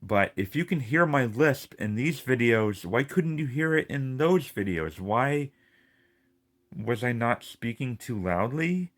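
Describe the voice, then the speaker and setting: A man's voice: mid-range voice, lively intonation, measured pace. A man with a lisp ranting casually and in frustration to his video audience, rambling and swearing as he talks. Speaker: gaming video creator.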